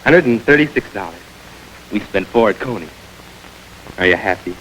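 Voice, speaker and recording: An eager young working man talks with a lively, bouncing pitch. His manner is earnest and animated, over a faint hiss on the old radio recording. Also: normal speed, low-quality recording. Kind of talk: 1940s radio acting.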